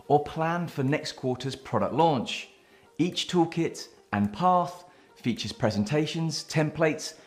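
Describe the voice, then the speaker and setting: A man reads a scripted promotional pitch in an even, mid-pitched voice. Faint music plays beneath him. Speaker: promo announcer.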